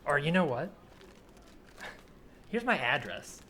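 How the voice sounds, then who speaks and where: A man improvising a comedy scene as a giddy, conflicted vegan who longs to hunt, in a halting, excitable way. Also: a little high, exaggerated voice. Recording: remote recording with faint background noise.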